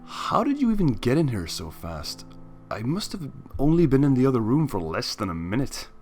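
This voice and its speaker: A man voices an exasperated young man in a fan story reading. He is wry and incredulous, his mid-pitched voice swinging expressively, with faint music behind. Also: huge pitch swings, moderate pace. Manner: sharp, incredulous, weary. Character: exasperated, sarcastic young human man in pony world.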